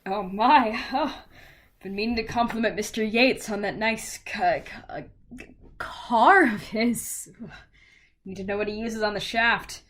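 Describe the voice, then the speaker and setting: A woman playing an excitable, smitten 1950s husband in an audio drama, stammering and gushing. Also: medium pitch, measured pace, close to an everyday voice. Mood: distracted, smitten.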